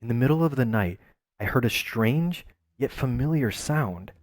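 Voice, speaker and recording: A man narrating a first-person adventure story in a measured, calm storytelling manner. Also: medium pitch, no echo, studio quality. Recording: faint music behind voice.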